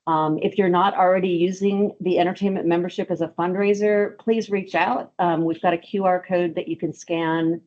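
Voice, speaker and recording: Low-voiced woman hosting a webinar. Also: steady tone, normal speed, hardly any pauses, a little mellow, muffled recording, a little hiss or hum. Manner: hesitant, many ums and restarts. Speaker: webinar host. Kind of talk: webinar presentation. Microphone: video call.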